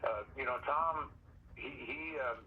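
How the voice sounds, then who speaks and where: A man's voice: medium pitch, unhurried. An older man with an American accent, a drummer chatting casually and hesitantly about old times over the telephone. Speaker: drummer and interview caller. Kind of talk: casual reminiscing phone chat. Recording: noisy telephone call.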